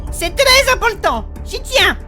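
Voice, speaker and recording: A greedy male fantasy scout, played by an actor, with a high, animated voice. He grumbles, whines and swears excitably in a comic audio drama with music behind. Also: measured pace, exaggerated voice. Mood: indignant. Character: Marco, foul-mouthed, greedy, whiny male scout. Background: background music.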